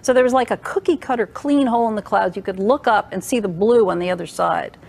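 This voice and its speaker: A woman's voice: mid-range voice, fast, a little mellow. An American woman explaining an account in a conversational interview. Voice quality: creaky.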